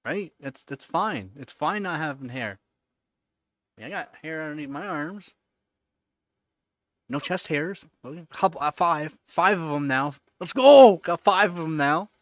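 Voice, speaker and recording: A man's voice: a little high, lively intonation, a little slow, no echo. A man in his thirties chatting casually on a livestream, answering viewers' questions in a rambling way, with many fillers and repeated words. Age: 30s. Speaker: livestream host. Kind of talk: casual live-stream chat answering viewers.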